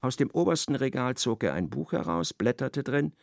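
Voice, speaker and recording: A man narrating a radio play with expressive, dramatic storytelling. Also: medium pitch, moderate pace, gravelly, no echo, clean studio sound.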